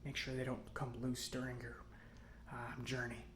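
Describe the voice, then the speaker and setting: A man playing a gentle, reassuring robot mechanic in a soft-spoken ASMR roleplay, talking in an unhurried, slightly hesitant way. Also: medium pitch, monotone, reverberant, a little hiss or hum, close to an everyday voice. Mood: reassuring, casual.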